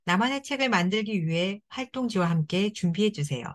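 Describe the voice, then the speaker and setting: A woman at a middle pitch reads scripted instructions for a children's book-making activity. She speaks to the kids directly, gently and encouragingly, praising their work as she goes. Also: lively intonation, fairly quick.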